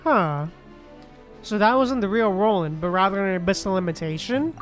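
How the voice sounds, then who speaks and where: A woman's voice: medium pitch, lively intonation, moderate pace, soft background music. A woman streaming a game, reacting casually and chattily and reading lines aloud.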